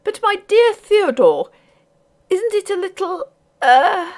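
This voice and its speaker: A prim older lady of good family, played by a woman reading a storybook, pitched high and swooping. She sounds fussy and critical, picking over her words with delicate, scandalised propriety. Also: sing-song, slow pace, a little mellow, full character voice. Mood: critical, hesitant. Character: prim, fussy older genteel English mother. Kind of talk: audiobook character voice.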